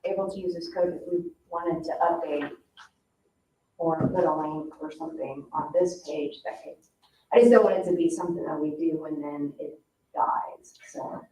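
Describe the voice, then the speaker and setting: A woman's voice: low-pitched, moderate pace, dark tone. A woman taking part in an informal discussion at a public meeting. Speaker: meeting participant. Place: indoor public meeting room. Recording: echoey room, distant microphone.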